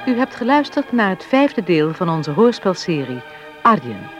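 A woman's voice: lowish voice, big pitch swings, moderate pace. A woman radio announcer reading scripted closing credits. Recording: dull radio sound, loud music. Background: music.

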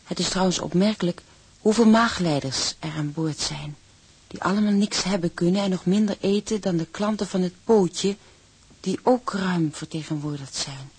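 An actor playing a young seaman who narrates life on board in a radio drama, breathy, wry and confiding. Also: sits high, normal speed, no room sound, full character voice. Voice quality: husky, breathy.

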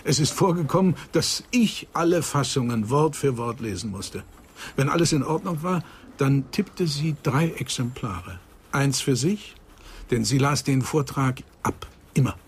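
An earnest old psychoanalyst in a radio crime drama. He speaks with reflective, measured emphasis at a medium pitch, with noticeable background noise around him. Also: animated. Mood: emphatic, grave. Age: older. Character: earnest elderly psychoanalyst of nearly eighty.

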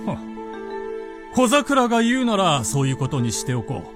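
An actor playing an imperious, amused feudal Japanese warlord in a period radio drama, with commanding, archaic, lordly speech. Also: male voice, high voice, big pitch swings, normal speed, soft background music. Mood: imperious, curious.

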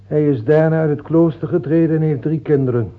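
A man's voice: a little high, a little fast, lightly acted. Man playing a humble tired hermit saint in a radio drama, mild n dry, thin old radio sound. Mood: sheepish, understated. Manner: mild, plain-spoken, dryly understated. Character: modest, weary hermit holy man, gently contrary. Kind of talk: radio play acting. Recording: tinny, band-limited old radio play.

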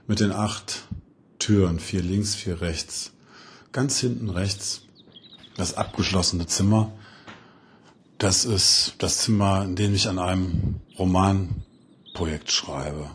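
A man speaking a halting, descriptive recorded note. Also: low voice, slightly rough. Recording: faint noise, ambient sound.

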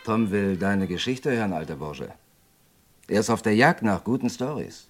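An actor playing a rough, familiar, coaxing townsman in a 1960s German western radio play, gruff and low-key. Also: expressive, moderate pace, soft background music.

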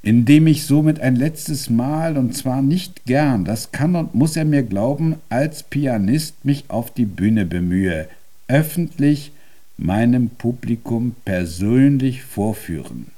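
An actor in a German radio drama playing a stubborn, imperious Viennese composer-pianist, with a formal, deliberate and pompous delivery. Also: male voice, mid-range voice, expressive, mellow. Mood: solemn, resolute.

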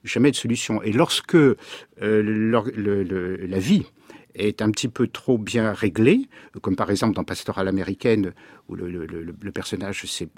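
A man speaking as a literary scholar in a radio discussion, halting and repeating words as he searches for phrasing. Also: on the low side, a little mellow, no echo, clean studio sound.

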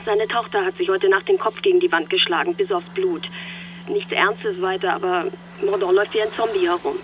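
A man's voice: sits high, steady tone, brisk, fairly bright tone. An actor playing a tactful, concerned male police colleague speaking on the phone in a radio drama. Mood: careful, concerned. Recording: dull telephone line, faint noise.

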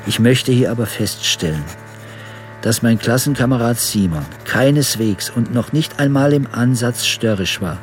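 A male actor plays an earnest, compassionate narrator in a radio play. His voice sits fairly low and moves through long, formal, winding sentences. Faint music lies behind the monologue. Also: a little mellow, natural voice. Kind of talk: scripted first-person monologue in radio play.

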